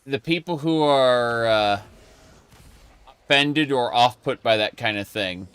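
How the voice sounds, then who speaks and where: A man's voice: medium pitch, takes it slowly, fairly bright tone, clean tone. A man streaming a late-night gaming chat, rambling casually with lots of fillers and restarts. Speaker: streamer and video creator.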